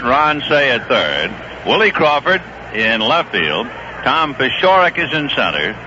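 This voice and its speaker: A middle-aged man calling a baseball game as play-by-play announcer in live radio commentary, brisk and conversational. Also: mid-range voice. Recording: dull old radio broadcast with faint hiss.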